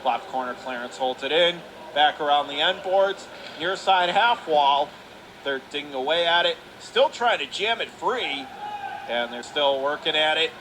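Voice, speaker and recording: Male sports announcer, bright forceful tone, quick-fire clipped hockey calls over faint arena noise. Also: on the high side. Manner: clipped running phrases, excited calls. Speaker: radio play-by-play announcer. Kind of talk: live hockey play-by-play commentary. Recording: noisy live rink recording.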